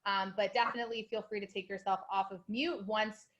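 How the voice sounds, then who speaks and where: A woman's voice: mid-range voice, steady tone, brisk, a bit bright, lo-fi sound. Brief: young female host, bubbly and casual, lots of "um" and "like", video-call audio. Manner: bubbly and chatty, many fillers like "um" and "like". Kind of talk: hosting a live online program.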